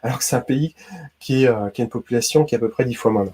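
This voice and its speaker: A man's voice: medium pitch, fast talker, warm tone, slightly rough. A man from Brittany hosting a casual quiz video, chatty and talking straight to the viewer with lots of "hein" and "d'accord". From Brittany, France. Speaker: YouTube host.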